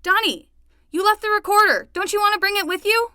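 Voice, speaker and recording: A woman's voice: very high voice, brisk, bright, forceful tone, no echo, clean studio sound. A woman playing an earnest, exasperated young summer nanny and reluctant detective's assistant in a scripted fiction podcast, talking in a conversational way. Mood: flustered, alarmed.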